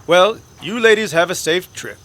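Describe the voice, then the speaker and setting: Man acting a courtly, lively-pitched Western gentleman. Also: moderate pace. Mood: grateful. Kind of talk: old-time radio western acting.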